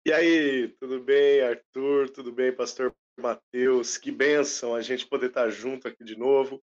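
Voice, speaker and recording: A mid-pitched man co-hosts a casual podcast chat, gushing with praise and religious exclamations. His audio comes through a bit muffled and lo-fi. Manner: effusive, full of religious exclamations. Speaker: podcast co-host.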